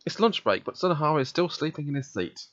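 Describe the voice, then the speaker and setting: A man's voice: on the high side, big pitch swings, quick pace, a bit bright, dry recording, muffled recording. A man reading a visual novel aloud, narrating in the first person and voicing its dialogue.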